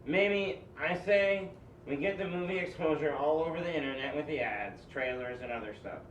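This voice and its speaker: Affable, demanding male director boss , read by a man: higher voice, halting lines, roomy distant sound. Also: natural voice. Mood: matter-of-fact. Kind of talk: amateur script table read. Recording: echoey, muffled, distant mic, faint noise.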